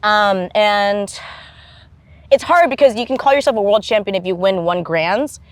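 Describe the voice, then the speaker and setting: A woman's voice: medium pitch, moderate pace, no room sound. Woman in her 30s, vietnamese first language, laid-back interview guest, self-deprecating jokes and lots of ums, dry close mic. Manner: self-deprecating and jokey, with frequent fillers and laughs. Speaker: guest, stunt performer and martial artist. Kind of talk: casual sit-down interview.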